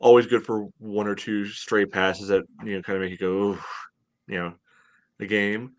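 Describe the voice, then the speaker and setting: Low-voiced man talking sports. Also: flat delivery, moderate pace, no echo. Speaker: podcast co-host. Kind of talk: casual sports analysis. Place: home room, on a video call.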